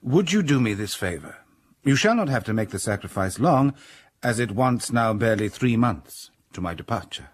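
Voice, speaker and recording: A man playing a stern, cold young clergyman in a radio drama, speaking in a formal, measured, reserved way. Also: lowish voice, sing-song.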